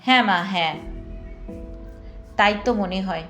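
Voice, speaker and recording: A woman's voice: mid-range voice, unhurried, bright, forceful tone, faint music behind, voice pushed down. A woman voicing an elderly village grandmother, deferential, hesitant and coaxing in a dramatized story reading. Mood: fond, earnest. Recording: echoing room or reverb.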